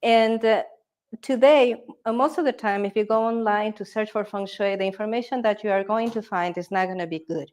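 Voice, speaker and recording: A woman speaks at an ordinary middle pitch. She presents a live workshop as a feng shui consultant, keeping her tone conversational and often checking in with her listeners. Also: brisk, a little mellow. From Ecuador. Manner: conversational, checks in with tag questions.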